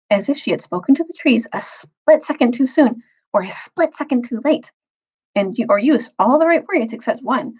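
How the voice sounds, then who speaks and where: A woman reads a children's novel aloud with lively, swooping intonation. Her medium-pitched voice is a little husky, and she phrases carefully for emphasis. The recording is slightly dull. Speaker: storyteller, teacher reading aloud.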